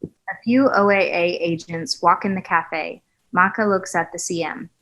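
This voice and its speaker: A woman's voice: on the low side, a little slow, fairly bright tone, poor audio. A woman narrator reading screenplay stage directions at a table read.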